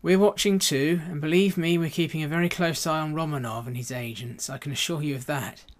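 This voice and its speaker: A man's voice: fairly high pitch, steady tone, fairly quick, lightly acted. A man acting an assured, assertive senior intelligence chief in a radio drama. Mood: confident.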